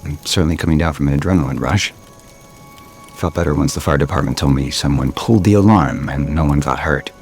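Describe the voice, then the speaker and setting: An actor playing a wistful man in his thirties in an audio soap opera, gentle, earnest and halting. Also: rumbling low. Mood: attentive. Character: wistful thirty-eight-year-old father, tender with old love. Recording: music behind the voice.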